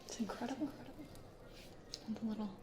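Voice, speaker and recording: A woman playing a gentle, anxious, plant-loving American ghost from the 1800s in a soft, hesitant, breathy whisper for an ASMR roleplay. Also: fairly high pitch, fairly quick, natural voice. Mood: tender, proud. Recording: roomy echo, audible background noise.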